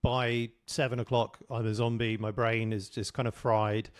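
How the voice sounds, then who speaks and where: Male interview host, mid-pitched, casual and talkative, dry close-miked sound. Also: flat delivery, normal speed, smooth, no echo. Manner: chatty, lots of fillers and restarts, friendly asides. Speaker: podcast host.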